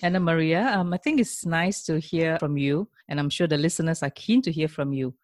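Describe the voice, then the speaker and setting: A woman hosting a podcast interview in a formal, courteous way, deliberate and effusive with her compliments. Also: deep voice, a little mellow, no echo, studio quality. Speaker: podcast host.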